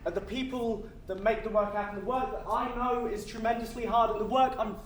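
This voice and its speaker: Echoey, high-voiced earnest man on stage. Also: steady tone, a little fast, lightly acted. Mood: tender, resolute. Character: earnest afterlife official pleading to choose his forever, tender and resolute. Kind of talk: stage play acting. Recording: echoey stage, distant mic, faint noise.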